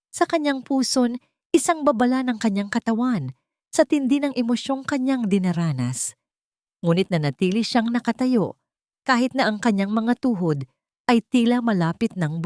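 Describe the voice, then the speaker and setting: A woman's voice: mid-range voice, darkish tone, no echo, clean studio sound. A woman narrating a scripted dramatic story.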